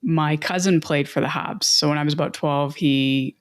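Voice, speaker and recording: A woman's voice: sits low, normal speed. A woman with a Canadian accent giving conversational interview answers as a guest coach, explaining things through analogies and repetition. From Toronto, Canada. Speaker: guest, mental performance coach and author.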